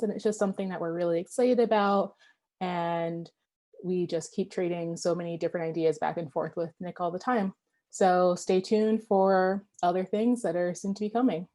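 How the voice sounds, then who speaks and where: Woman, partner representative, mellow tone, upbeat, ums. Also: fairly low pitch. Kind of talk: casual announcement. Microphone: video call.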